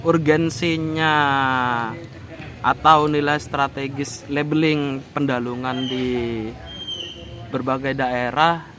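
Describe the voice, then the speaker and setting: A man's voice: mid-range voice, little pitch movement, takes it slowly, long pauses, noisy background. A man interviewing a guest, asking polite questions and addressing him respectfully. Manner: polite, brief murmured acknowledgements, addresses guest as Pak.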